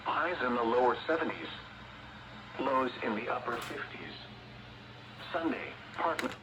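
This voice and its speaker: A male weather radio voice reads a forecast in clipped, formal phrases with a robotic delivery. It plays from a radio speaker at some distance from the microphone, with clear noise around it. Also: medium pitch, sing-song, measured pace. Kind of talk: scripted automated weather forecast.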